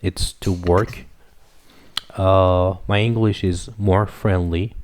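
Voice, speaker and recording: A low-voiced man whose first language is Farsi talks through his thoughts on a casual podcast. His tone is dark and mellow, and he often stops with an "uh" to gather himself. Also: fairly even intonation, a little hiss or hum. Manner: reflective, thinks aloud with many "uh" pauses. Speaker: podcast co-host.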